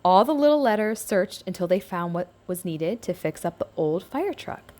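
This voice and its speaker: A woman's voice: mid-range voice, animated, moderate pace, warm tone, a little hiss or hum. A woman narrating a scripted picture book aloud for young children, as a storybook reader.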